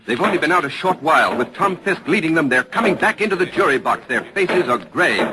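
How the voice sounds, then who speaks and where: An actor playing an earnest radio news reporter, giving dramatic, urgent and articulate live commentary in 1940s style. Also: male voice, on the high side, sing-song, fairly quick, background noise. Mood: grave disbelief. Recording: old radio broadcast with crowd murmur.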